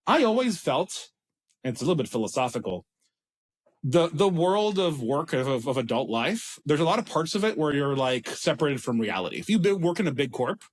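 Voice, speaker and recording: A man's voice: fairly high pitch, very animated, brisk, slightly rough. A man in his thirties with an Israeli accent, talking casually as a startup founder in an interview, rambling and self-deprecating with frequent restarts. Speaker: startup CEO guest.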